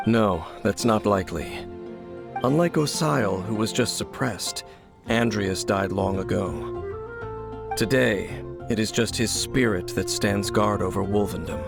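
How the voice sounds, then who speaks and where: A knowing, composed swordsman from a video game. His gravelly voice is grave and measured, with clear guitar music playing behind it. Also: on the low side. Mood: grave, explanatory. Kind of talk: video game cutscene acting.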